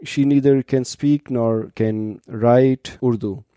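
A man in his thirties from Pakistan, a native Pashto speaker, answers interview questions in English. His voice is very dark and mellow, recorded close and dry, and he explains things patiently, pausing and restarting often. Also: medium pitch, steady tone, clean tone, dry recording, muffled recording. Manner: explanatory, hesitant with fillers and restarts. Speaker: interview guest, doctoral student.

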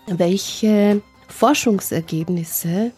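A woman hosting a live radio talk show from a studio, introducing guests in a formal, measured way. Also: mid-range voice, a little mellow, breathy edge, soft background music.

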